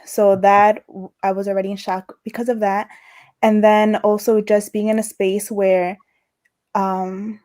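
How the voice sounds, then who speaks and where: Young woman who stutters, soft mellow voice. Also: mid-range voice. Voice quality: stutters, with repetitions and broken-off words. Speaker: interview guest. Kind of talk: casual conversation over a livestream.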